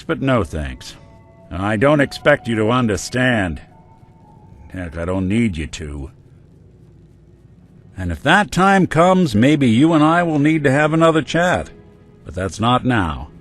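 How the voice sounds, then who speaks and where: An actor playing a weary male synth private detective with a dry, laid-back wit, in video game dialogue. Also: fairly low pitch.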